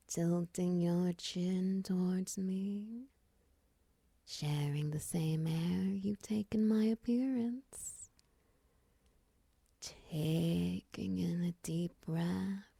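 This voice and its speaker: A seductive, doting spider woman played by a female voice actor for a romance audio roleplay. Her voice is very dark and soft, lowish and breathy, slipping often toward a whisper. She coaxes slowly and teasingly, right up close on a dry mic. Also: no echo, heavily acted. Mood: seductive, intimate. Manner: slow, coaxing, teasing endearments. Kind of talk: intimate ASMR-style romance audio roleplay.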